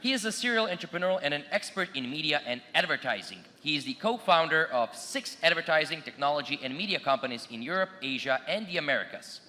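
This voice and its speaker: A man's voice: on the high side, lively intonation, fast talker, few pauses, pushed and bright. A man acting as conference emcee, introducing speakers in an upbeat, announcer style. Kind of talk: stage announcement. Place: conference hall. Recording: echoey conference hall.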